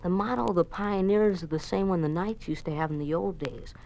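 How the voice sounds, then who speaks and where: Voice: mid-range voice, fairly quick, vocal fry, darkish tone, a little hiss or hum, lightly acted, rough recording. Someone acting an eager american schoolboy in a 50s radio show, polite and kinda naive. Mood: eager, wondering. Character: earnest, eager young schoolboy, a Boy Scout and obedient son. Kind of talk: 1950s radio drama acting.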